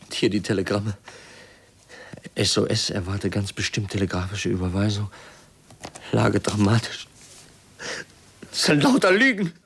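A man's voice: lowish voice, lively intonation, a little slow, vocal fry, pauses often, a bit bright, slightly rough, full character voice. An actor playing an earnest, bitterly disillusioned young man in a 1950s German radio drama. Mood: anguished, bitter. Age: young adult. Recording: 1950s radio recording, faint noise, clicks.

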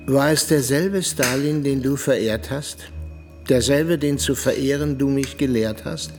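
A man's voice: medium pitch, measured pace, a little mellow, faint music behind, lightly acted. An actor playing a disillusioned former assassin in a radio drama, sober and probing as he questions his mentor. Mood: reproachful.

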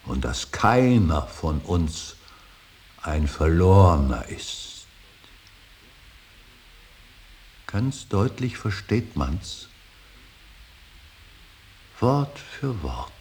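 An extremely deep-voiced older father broods over his grief in a solemn radio drama. He declaims very slowly and haltingly, weighing each phrase and often sinking into a breathy whisper. There is a slight hiss on the recording. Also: very animated, a little mellow, exaggerated voice. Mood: fervent, exalted. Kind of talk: German radio drama acting, solemn monologue. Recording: hissy, faintly noisy radio recording.

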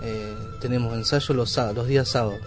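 A man answering casually as an interviewed band member, halting and plain-spoken. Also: mid-range voice, steady tone. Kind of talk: casual radio interview chat. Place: radio studio. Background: music with guitar.

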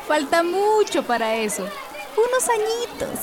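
A capable young shelter volunteer in a radio soap. Her voice is high and very expressive, with a loud crowd behind. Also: slow pace, exaggerated voice. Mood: friendly. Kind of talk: radio soap opera acting.

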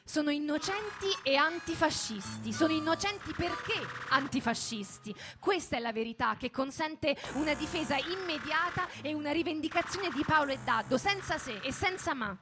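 A woman giving a dramatic scripted reading as in radio theatre, in measured phrases with deliberate pauses. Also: high voice, expressive, noisy background. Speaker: narrator, theatre performer. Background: music.

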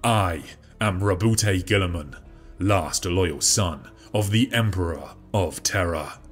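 A man voicing a stern, booming demigod warrior-king. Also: husky, soft background music, full character voice. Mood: booming threat. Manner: grave, slow, booming delivery. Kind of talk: audiobook character voice.

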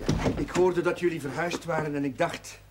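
Actor as hesitant, courteous young-sounding chaplain. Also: male voice, fairly high pitch, fairly even intonation, moderate pace, lightly acted. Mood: bashful, polite. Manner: hesitant, repeating words. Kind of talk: television drama acting. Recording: slightly noisy 1970s television soundtrack.

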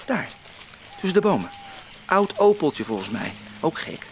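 An eager boy sleuth in a radio play, high-pitched and very animated. His talk is colloquial, over a faintly noisy recording. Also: a little slow. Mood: curious, excited. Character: eager, excitable boy amateur detective. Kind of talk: Dutch radio play acting.

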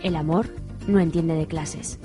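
A woman's voice: low voice, steady tone, a little slow. A woman with an accent from Spain reading scripted narration for a radio soap. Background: faint music.

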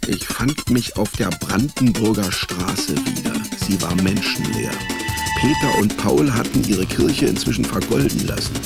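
A world-weary male private eye tells his own story in a radio play. The voice is low and creaky, the delivery slow and deadpan, with music behind it. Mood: wry, dreamlike. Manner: dry, laconic, deadpan. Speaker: narrator and lead character. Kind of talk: hard-boiled first-person radio drama narration.

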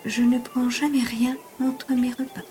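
A woman's voice: fairly high pitch, level tone, unhurried, warm tone, lightly acted. An actress playing a sweet, sympathetic young lady serving drinks in an audio drama, polite and cooing. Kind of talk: French audio drama acting.

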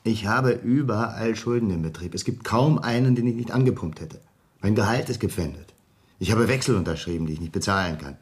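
Man playing a low-pitched, rueful down-on-luck gambler. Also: fairly quick, a little mellow, close to an everyday voice. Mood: pleading. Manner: rueful, conversational, coaxing. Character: debt-ridden gambler, a pleading, fatalistic fired employee. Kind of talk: German radio drama acting.

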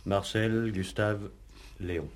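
An actor playing a middle-aged murder suspect in a 1960s radio play, defensive, sullen and hesitant. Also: male voice, on the low side, steady tone, takes it slowly. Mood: reserved. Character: defensive, exasperated 42-year-old male murder suspect. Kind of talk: 1960s radio drama acting. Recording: old radio recording with faint background noise.

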